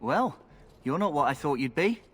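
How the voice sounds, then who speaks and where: A man's voice: on the high side, big pitch swings, moderate pace, fairly bright tone, breathy edge, full character voice. A man playing a cheeky, flirtatious rogue recruit in a fantasy video game, talking in a wry, colloquial way. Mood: roguish, wry. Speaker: game character. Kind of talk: scripted fantasy game acting.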